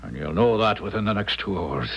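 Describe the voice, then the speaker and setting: An actor playing a blustering Irish gambler squire in a 1970s radio drama, with a stammering, theatrical delivery. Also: male voice, on the low side, lively intonation, fairly quick, over loud music. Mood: agitated, grim. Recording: dull, noisy 1970s radio broadcast.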